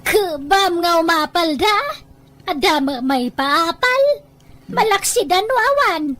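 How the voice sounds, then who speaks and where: Female actor as lively, defiant villager in audio drama, very high voice, unbothered manner. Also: slow pace, slight background noise, heavily acted.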